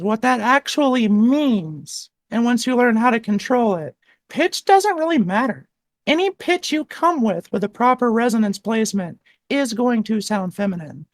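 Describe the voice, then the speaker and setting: A woman's voice: a little high, dry recording. A woman voice coach talking casually and animatedly about resonance, with many "like"s. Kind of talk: casual chat.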